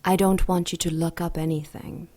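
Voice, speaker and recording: Casting: actress as a self-assured demon queen for audio drama, lowish, mellow and silky, teasingly seductive. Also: steady tone, moderate pace, lightly acted. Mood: assured, scheming.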